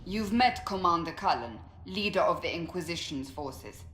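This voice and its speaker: An actress playing a stern, dutiful warrior woman in a video game cutscene, speaking in a firm, formal, measured way. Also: on the low side, expressive, a bit bright, soft background music. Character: stern, dutiful warrior woman leading a fantasy war council. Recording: roomy echo, noisy ambience.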